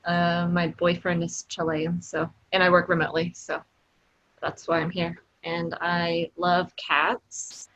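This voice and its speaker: A woman in her thirties from Ohio introducing herself in a relaxed, chatty way over a video call. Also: lowish voice, measured pace, lo-fi sound. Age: 30s.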